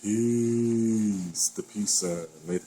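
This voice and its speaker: A man with a deep voice giving his blunt, opinionated take on a new game in a casual vlog, swearing freely as he gripes. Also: fairly even intonation, extremely slow, warm tone, slight background noise, poor audio. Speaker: gaming vlogger.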